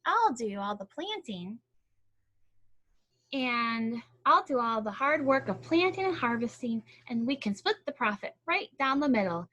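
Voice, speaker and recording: A woman's voice: on the high side, very animated, unhurried, sharp tone, dull audio. A woman reading a picture book aloud expressively, with casual explanatory asides and questions. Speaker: storybook reader.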